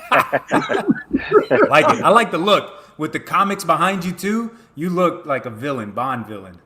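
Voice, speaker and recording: A man joining a live stream panel as a guest, excitable and joking, laughing often. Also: high voice, slow, pauses often. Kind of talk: casual live stream chat.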